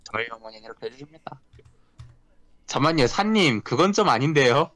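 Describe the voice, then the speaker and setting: A man's voice: medium pitch, animated, a little fast, fairly bright tone, no echo. A man playing an online word game, chatting casually with the group.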